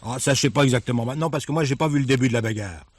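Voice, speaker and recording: Male actor, village fireman, man, quick pace, plain-spoken, colloquial, deferential, hesitant, radio drama. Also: mid-range voice, close to an everyday voice. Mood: chatty, confiding. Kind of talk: French radio crime drama acting.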